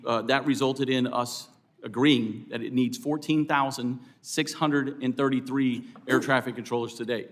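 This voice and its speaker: An American man answering as an expert witness at a hearing, in a formal, deliberate and earnest way. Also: medium pitch. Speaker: expert witness for air traffic controllers. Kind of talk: formal testimony.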